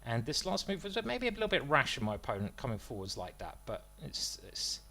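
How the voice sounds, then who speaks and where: A man's voice: medium pitch, very animated, brisk, vocal fry, pushed and bright. Self-mocking man commentating live chess. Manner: self-deprecating, joking, thinking aloud about moves. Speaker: chess streamer commentating his own games.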